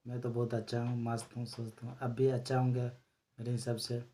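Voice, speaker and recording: Man, channel host, casual commentary, monotone, mellow. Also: medium pitch, slight background noise. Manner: relaxed, explains slowly with fillers.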